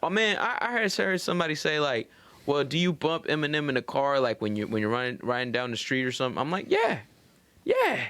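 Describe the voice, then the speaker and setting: A young American man with a slightly higher-pitched voice chats as a podcast co-host. He is animated and slangy, swears freely, and sounds close and dry. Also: fairly quick, dry recording. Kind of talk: casual podcast banter.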